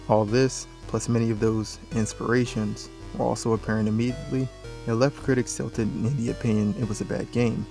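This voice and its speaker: A man's voice: medium pitch, vocal fry, darkish tone. Man narrating a scripted documentary over music.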